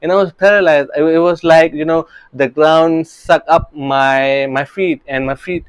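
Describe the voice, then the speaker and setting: A man's voice: fairly high pitch, steady tone, unhurried, clear, resonant tone, dry recording. A man in his thirties from Singapore, a real estate coach talking earnestly about his early career, often restarting his sentences. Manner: earnest, many restarts and "you know, right?" tags.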